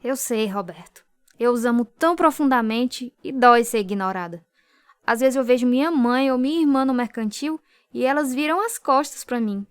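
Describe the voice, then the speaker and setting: A woman's voice: a little high, normal speed, clean tone, dry recording, studio quality, natural voice. An actress playing a devout, hurt young daughter who tells her life story earnestly in a Brazilian Portuguese radio drama. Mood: tender, sad. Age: young adult. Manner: earnest, heartfelt. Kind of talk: radio drama narration and dialogue. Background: music.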